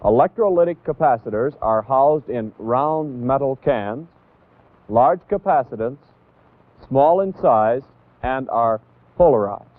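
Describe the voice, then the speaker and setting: An American man narrating a 1960s instructional training film as an instructor, in a deliberate, didactic manner that stresses key points. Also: medium pitch, lively intonation, dry recording. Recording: tinny, band-limited 1960s training film audio.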